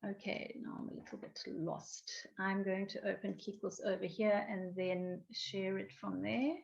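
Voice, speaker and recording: A woman giving an online software training, explaining with frequent ums, her voice going croaky. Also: medium pitch, steady tone, measured pace. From South Africa. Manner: explanatory, many ums and restarts. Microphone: video call. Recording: dull lo-fi online call audio.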